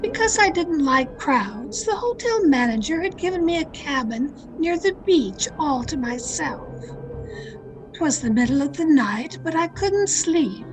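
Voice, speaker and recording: Woman acting a restless heroine, high voice, slow melodramatic narration, radio mystery, music behind. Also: low-quality recording. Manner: melodramatic, deliberate. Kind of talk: old-time radio drama acting.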